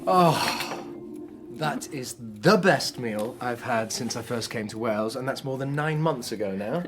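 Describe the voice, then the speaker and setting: A man's voice: medium pitch, expressive, a little slow, noisy background, natural voice. An actor playing an earnest, bashful young male doctor in a radio drama, hesitant and wryly humorous. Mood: playful.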